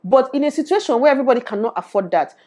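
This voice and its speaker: A young Nigerian woman with a Nigerian accent, giving her opinions in an animated to-camera vlog and slipping into Pidgin. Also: on the high side, racing. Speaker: YouTuber. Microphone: lapel.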